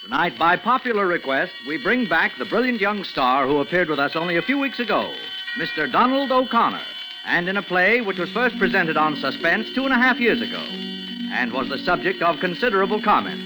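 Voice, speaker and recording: A formal man hosting a 1940s radio program. His voice is high and highly animated, set over prominent music. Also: brisk, poor audio. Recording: 1940s radio broadcast recording.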